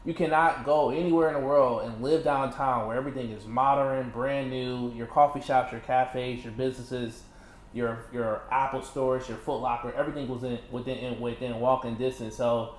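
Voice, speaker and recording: A man's voice: mid-range voice, normal speed, a little hiss or hum. Easygoing man in an echoey room. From Michigan, USA. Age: 30s. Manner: animated, laughing, many "you know" fillers. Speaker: interview guest. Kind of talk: casual interview chat. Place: indoors in a condo. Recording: echoey room with faint ambience.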